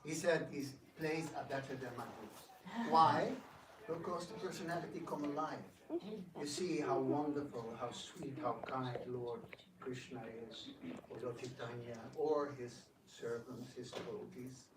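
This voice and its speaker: A man's voice: mid-range voice, a little slow, crowd noise. A man speaking informally as a devotional speaker. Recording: roomy, distant live recording, faint noise.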